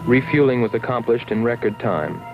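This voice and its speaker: An actor playing a grave astronaut, narrating a 1960s science fiction film in a measured, reflective way. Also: male voice, medium pitch, animated, creaky. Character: grave male astronaut recounting a space rescue mission. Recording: dull old film soundtrack, loud music.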